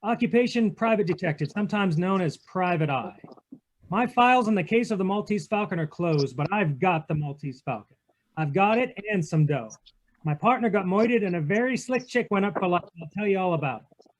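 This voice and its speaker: A man's voice: sits high, animated, fairly quick, rough recording. A man with an American accent playing a cynical, tough, slangy private detective in a 1940s radio drama. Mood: hard-boiled. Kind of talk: 1940s radio drama, hard-boiled narration.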